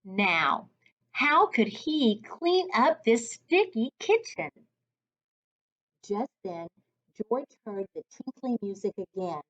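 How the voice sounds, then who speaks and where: Lady doing storytime for little kids, highish voice that swoops around, slightly dull lo-fi sound. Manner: expressive, deliberate storytelling for young children. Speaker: reading coach reading aloud. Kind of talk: children's storybook read-aloud.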